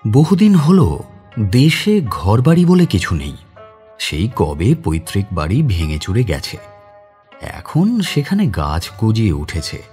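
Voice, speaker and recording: A man's voice: on the low side, wildly swooping pitch, slow, mellow, soft background music, exaggerated voice. An actor playing a kind, reflective man visiting his home village, narrating the dramatised story himself. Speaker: narrator and lead character.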